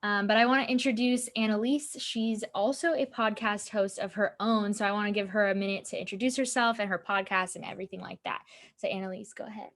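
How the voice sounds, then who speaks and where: A woman's voice: mid-range voice, fairly even intonation, brisk, a bit bright. A woman hosting a podcast in casual chat, talkative and full of "um" and "like". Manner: chatty, with "um" and "like" fillers. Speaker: podcast host.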